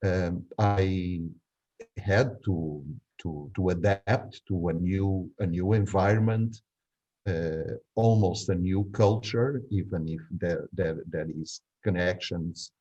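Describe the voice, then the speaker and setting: An older man in his sixties speaks English with a Portuguese accent, as a native Portuguese speaker. His voice is deep and very slow, with pauses as he searches for words. He tells his story reflectively, over slightly dull, muffled audio. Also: mellow. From Angola. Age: 60s. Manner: hesitant, measured, searching for words. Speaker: interviewee.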